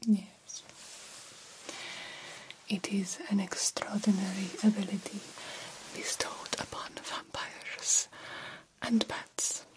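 A woman playing a soothing, formal female vampire doctor in a breathy ASMR whisper, speaking with deliberate, old-fashioned phrasing. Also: medium pitch, flat delivery, natural voice, rough recording. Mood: proud, explaining. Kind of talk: ASMR roleplay.

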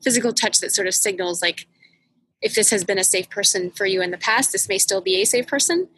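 A woman's voice: medium pitch, brisk, a bit bright, slight background noise, low-quality recording. A woman hosting a casual, reflective chat, thoughtful and searching for words. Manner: thoughtful, many fillers and restarts.

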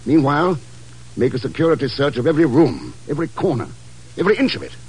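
An actor playing a cool, determined secret agent, clipped and wry, in an old-time radio drama. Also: male voice, on the high side, sing-song, fast, a little mellow, husky, exaggerated voice, poor audio. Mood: urgent, firm.

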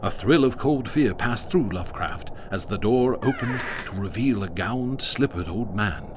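A man narrating a radio drama in a measured, ominous storytelling manner. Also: lowish voice, expressive, noisy background.

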